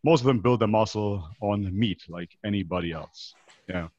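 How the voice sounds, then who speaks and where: A man around thirty with a foreign European accent and a fairly low voice. He speaks as an interview guest, explaining things from his own life in a conversational way. The sound is dry and somewhat dull, like an online call. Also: normal speed, a little mellow, no echo. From North Macedonia, raised in Germany. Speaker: podcast guest. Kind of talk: conversational interview, personal account.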